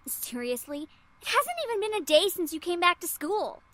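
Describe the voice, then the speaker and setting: A woman voicing a brash, loud-mouthed teenage schoolgirl in a visual novel. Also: very high-pitched, big pitch swings, moderate pace, dry recording, full character voice. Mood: incredulous.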